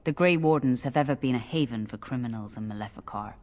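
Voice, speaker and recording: An actor playing a self-righteous, menacing templar knight in a fantasy game, speaking formally with haughty accusation. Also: male voice, mid-range voice, fast talker, close to an everyday voice. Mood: stern, accusing. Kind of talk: fantasy game dialogue acting.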